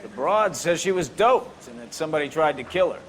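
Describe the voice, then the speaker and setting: A sardonic police captain assigning detectives a new case. His voice sits a little high. Faint noise hangs behind him. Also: animated, brisk, a bit bright. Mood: brusque. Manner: brusque, sardonic. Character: gruff 1940s police captain man, brusque.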